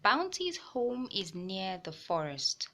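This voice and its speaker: A woman hosting a children's read-aloud, narrating a storybook with deliberate phrasing for young listeners. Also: mid-range voice, very animated, gravelly, fairly bright tone.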